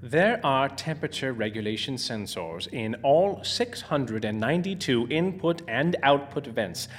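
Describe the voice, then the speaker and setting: A man's voice: mid-range voice, sing-song, measured pace, slight background noise. A man playing an eccentric, boastful and cheerfully oblivious scientist in an audio drama, theatrical and precise. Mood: gleeful, oblivious.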